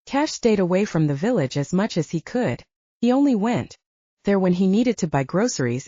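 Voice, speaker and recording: Female audiobook narrator, lowish voice with expressive swings, husky, close and slightly muffled. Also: no room sound. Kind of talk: scripted fiction narration.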